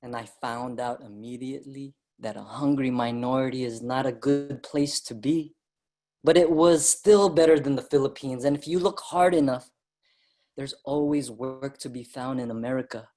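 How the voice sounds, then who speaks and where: Young Filipino laborer-narrator played by a man: earnest, expressive, medium-pitched, dramatic first-person staged reading. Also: normal speed, close to an everyday voice. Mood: reflective narration. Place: virtual live event, remote reading.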